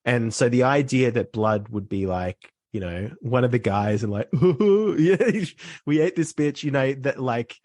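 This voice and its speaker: A man hosting a casual podcast conversation, explaining things informally and haltingly into a close microphone. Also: mid-range voice, animated, a little mellow, no echo.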